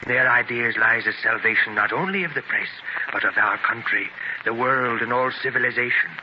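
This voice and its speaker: A man acting in a 1930s radio drama as a proud, ailing, half-blind newspaper publisher, speaking forcefully and emphatically. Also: medium pitch, brisk, lo-fi sound, lightly acted. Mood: earnest, idealistic. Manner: declamatory, emphatic. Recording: scratchy, noisy 1930s radio transcription.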